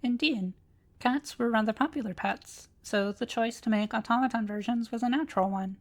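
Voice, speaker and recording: A woman playing an ancient, courteous automaton librarian in a scripted audio roleplay, speaking formally with precise, measured diction. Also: fairly high pitch, lightly acted. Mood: calm, instructive. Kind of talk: scripted roleplay audio drama addressed to listener.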